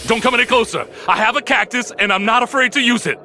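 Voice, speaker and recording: An actor playing an excitable, jumpy pirate-radio DJ who talks in a showy, theatrical announcer style. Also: male voice, extremely high pitch, quick pace, background noise. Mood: panicked. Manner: animated, chatty, showy. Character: excitable, jumpy male pirate-radio DJ living alone on a moon base. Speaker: radio DJ and narrator.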